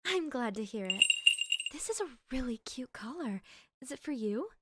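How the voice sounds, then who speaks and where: A woman's voice: sits high, very animated, moderate pace, very noisy. A woman playing a polite, chatty cashier in a roleplay audio, perky and accommodating with customer-service courtesy. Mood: friendly. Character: polite, chatty young female shop cashier.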